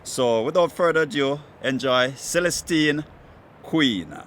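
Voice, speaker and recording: A man's voice: mid-range voice, measured pace, a bit bright, slight background noise. A man hosting a music show, introducing things in a casual, laid-back conversational way.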